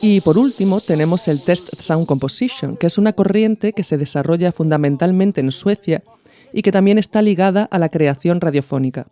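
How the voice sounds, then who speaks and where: A man's voice: high-pitched, animated, normal speed, dark tone. A man from hosting a podcast, explaining in a didactic, narrated style. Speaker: podcast host. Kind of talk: explanatory narration of radio art.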